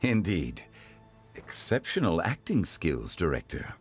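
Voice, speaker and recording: A man voicing a composed, gentlemanly consultant with dry wit in a video game, formal and unruffled. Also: low-pitched, wildly swooping pitch, unhurried, heavily acted. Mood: dryly polite. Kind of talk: measured video game acting. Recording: music under the voice.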